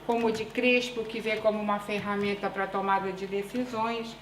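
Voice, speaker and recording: A woman researcher with a Brazilian accent tinged by European Portuguese, giving a conference presentation in a deliberate, measured way with frequent hesitations. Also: medium pitch, level tone, a little hiss or hum. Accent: Brazilian Portuguese with European Portuguese influence. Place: conference room. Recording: echoey room with faint ambience.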